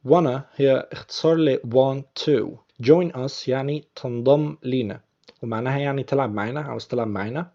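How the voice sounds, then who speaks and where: A man with an Egyptian accent teaching English, patiently repeating film lines slowly before explaining them. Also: medium pitch, mellow, lo-fi sound. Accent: Egyptian Arabic.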